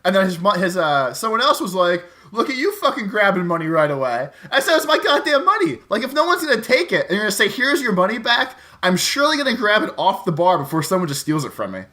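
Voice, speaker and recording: A man's voice: high voice, sing-song, fast, hardly any pauses, pushed and bright. A man co-hosting a casual comedy podcast, talking in a brash, joking way with free swearing. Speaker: podcast co-host.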